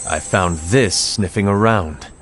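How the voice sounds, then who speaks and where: Grave suspicious game leader, low voice, very formal, music behind him. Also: male voice. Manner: formal, measured, stern. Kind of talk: video game cutscene acting.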